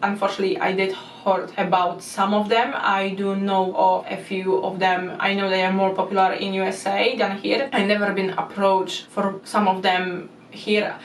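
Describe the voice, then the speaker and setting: A woman with a Polish accent talks to camera in an earnest, casual way, restarting often as she works through her non-native phrasing. Her pitch stays flat and even. She sits back from the mic in an echoey room, with a faint hum behind her. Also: moderate pace, hardly any pauses, recorded from a distance. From Poland. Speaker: YouTuber. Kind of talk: casual explainer monologue. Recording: roomy echo, speaker away from the mic.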